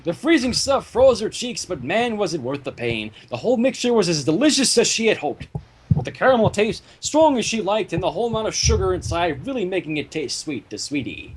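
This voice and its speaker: A man narrates a piece of fan fiction in an animated way. His voice sits high for a man and is rough-edged and husky, with lively swings in pitch. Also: a little fast, rough recording.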